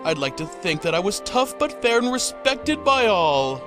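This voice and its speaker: A prissy, nerdy young cartoon lawyer, acted by a man, with an extremely high male voice. He sounds whiny and prim, quick to take offence, over clear background music. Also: very animated. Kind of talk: comedic parody cartoon dub. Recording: music behind the voice.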